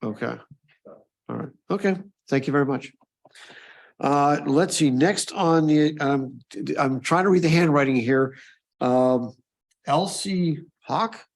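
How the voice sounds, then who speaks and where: A man's voice: on the high side, a little slow, creaky. A man chairing a public meeting. He is friendly and apologetic, and stops and restarts often. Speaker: commission chair.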